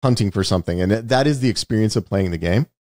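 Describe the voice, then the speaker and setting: A man's voice: fairly low pitch, fast, darkish tone, dry recording, clean studio sound. A man co-hosting a podcast, explaining film and game details in a knowledgeable, casual way. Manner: explanatory, knowledgeable, repeats words when starting. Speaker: podcast co-host. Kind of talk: casual movie discussion.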